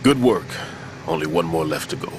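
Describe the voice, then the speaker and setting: An actor playing an older male bomb-disposal expert in a video game cutscene, grave and measured, with game music behind him. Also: low-pitched, animated, noisy background. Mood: tense, focused. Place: recording studio. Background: game score music.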